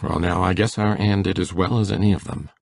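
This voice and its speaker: A man playing a gentle, proud older farmer with a gravelly voice and plain folksy phrasing in an audio drama. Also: sits low, brisk, dry recording. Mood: quietly proud.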